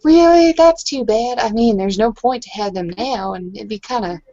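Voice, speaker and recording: A woman's voice: a little high, moderate pace, warm tone, lo-fi sound. A woman improvising casual in-character roleplay talk as a blunt, curious bar-goer, rambling and slangy. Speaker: tabletop roleplay player.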